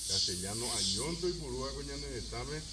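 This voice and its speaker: A man with a Paraguayan accent giving a speech at a graduation ceremony, halting and full of fillers. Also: medium pitch, lively intonation, very noisy. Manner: halting, many fillers and restarts. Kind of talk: public address. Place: ceremony venue. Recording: distant mic with loud music behind.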